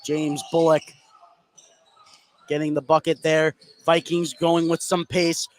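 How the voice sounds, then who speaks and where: A man giving live play-by-play radio commentary of a high school basketball game, energetic and lifting with the action. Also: takes it slowly, dry recording.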